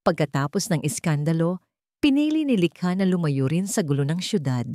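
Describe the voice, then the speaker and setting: A woman's voice: on the low side, animated, moderate pace, dark tone, no room sound, clean studio sound. A woman reading a scripted story in a dramatic narration style.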